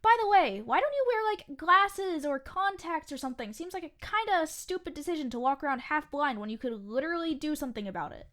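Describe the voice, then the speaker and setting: A woman's voice: sits high, lively intonation, fairly quick, few pauses, fairly bright tone. A young woman playing a sarcastic, grumbling eighteen-year-old waitress in a fiction podcast, snippy and sardonic. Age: young adult. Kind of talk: audio fiction podcast acting, conversational.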